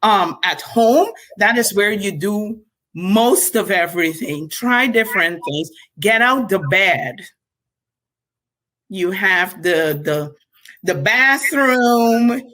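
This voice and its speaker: A woman's voice: mid-range voice, lively intonation, takes it slowly, fairly bright tone, poor audio. A woman from Guyana with a Guyanese accent, chatting casually and reflectively as an interview guest.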